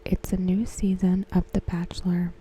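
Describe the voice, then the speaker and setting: A woman softly whispering a scripted recap in ASMR style, close to the microphone, with gentle, deliberate phrasing. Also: fairly low pitch, flat delivery, poor audio. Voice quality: soft, breathy, mostly whispered. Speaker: ASMR host. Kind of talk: ASMR, softly reading a scripted episode synopsis.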